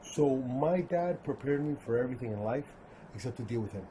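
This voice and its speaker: A man's voice: mid-range voice, animated, a little fast, dark tone, slight background noise, low-quality recording. A man born in Cuba, hosting a casual interview and often chiming in with short agreements. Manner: frequent short agreeing replies. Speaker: podcast host.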